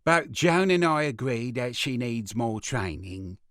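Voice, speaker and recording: A man's voice: medium pitch, lively intonation, measured pace, fairly bright tone, no room sound, studio quality. A man playing a dismissive, bureaucratic factory manager dictating a formal report in clipped phrases for a radio play. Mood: officious.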